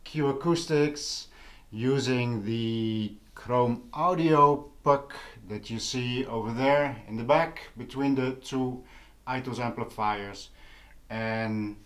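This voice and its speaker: A man chatting casually to a vlog audience, halting and thinking aloud as he goes. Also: mid-range voice. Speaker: vlog host. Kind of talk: casual vlog chat about hobby audio gear.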